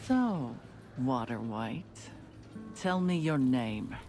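A woman voicing a cold, ruthless young gang leader who interrogates captives in a slow, measured, commanding way. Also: a bass voice, sing-song, mellow, full character voice. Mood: menacing. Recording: game voice with faint music.

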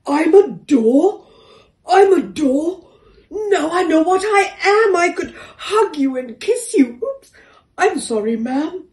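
A woman's voice: on the high side, takes it slowly, mellow, pitched above the performer's own voice. Woman playing a lonely, earnest talking door. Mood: overjoyed. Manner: earnest and pleading. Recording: echoey room, distant mic.

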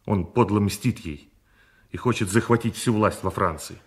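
A sombre musketeer in a radio play. His voice is fairly low, measured and grave, with a distrustful nobility. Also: breathy edge.

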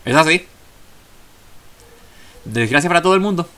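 Bright, higher-pitched man commenting on a game. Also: animated, moderate pace. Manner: jokey, chatty asides. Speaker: gaming video host. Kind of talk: casual let's play commentary.

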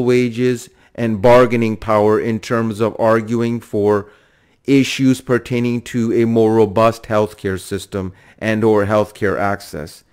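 A man's voice: fairly low pitch, darkish tone, smooth. A man giving political analysis in a wordy, repetitive way, stretching phrases out for emphasis. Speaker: political commentator.

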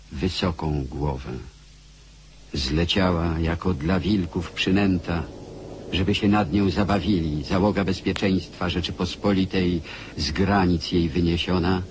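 An actor playing a solemn, elegiac narrator in a literary radio drama, grave and declamatory. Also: male voice, low voice, a little hiss or hum.

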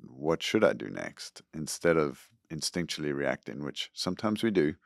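A middle-aged man, a school superintendent, giving earnest, measured interview answers into a close studio boom mic. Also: big pitch swings, gravelly, no echo. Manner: earnest, measured, repeats words when starting. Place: podcast studio room.

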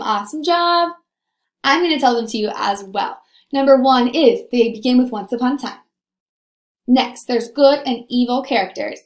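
A woman in her twenties teaching young children in an upbeat, animated, encouraging way on a video lesson. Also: high voice, echoey room. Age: 20s. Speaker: primary school teacher.

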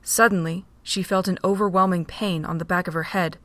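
A woman narrating a fan audio drama in a steady reading voice. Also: fairly low pitch, a little fast, dry recording. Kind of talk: storybook narration.

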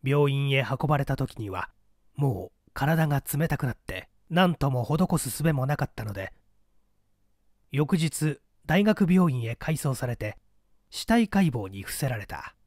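A man reading a mystery story aloud in an expressive, scripted narrator's delivery. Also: medium pitch, measured pace, dry recording, clean studio sound. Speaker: audiobook reader, small-theatre actor.

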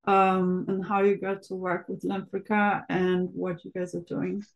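A woman's voice: lowish voice, monotone, a little slow, gravelly, dull audio. Woman hosting a video-call interview. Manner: brief encouraging back-channel sounds. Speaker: podcast host. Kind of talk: interviewer prompting a guest.

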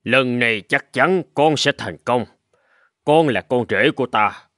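An actor playing a calm, shrewd older father-in-law in a cartoon, speaking in a measured, solemn way. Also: medium pitch, no room sound, clean studio sound, natural voice. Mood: solemn, assured. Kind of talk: cartoon voice acting.

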